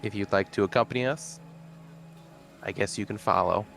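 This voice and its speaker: A man taking part in a tabletop game, casual and polite whether in or out of character. His voice is on the lower side, with a faint hiss behind it. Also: moderate pace, vocal fry, a bit bright. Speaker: player.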